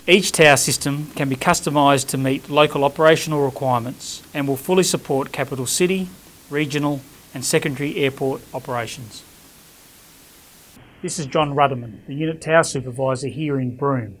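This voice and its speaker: A middle-aged Australian man presenting scripted corporate narration in a formal, measured way. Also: medium pitch, low-quality recording. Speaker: executive presenter.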